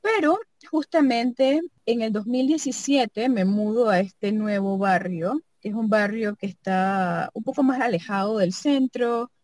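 A woman's voice: fairly high pitch, a little slow, hardly any pauses, darkish tone, no room sound. Reflective woman thinking aloud, dry sound. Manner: reflective, thinks aloud, frequent tag questions. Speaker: sound artist and double bass player. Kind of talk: casual conversation about her artistic work.

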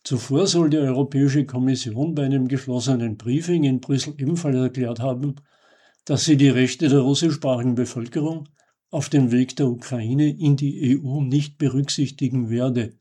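A man reading a scripted commentary as a radio host, in a measured, formal and emphatic way. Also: medium pitch, fairly even intonation, dark tone, slightly rough.

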